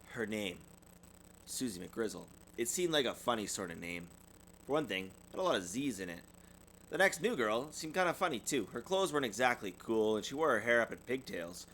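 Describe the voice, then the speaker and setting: A man's voice: mid-range voice, a bit bright, a little hiss or hum, rough recording. A man reading a children's storybook aloud as a parent would, expressively and with small stumbles. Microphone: USB desktop microphone.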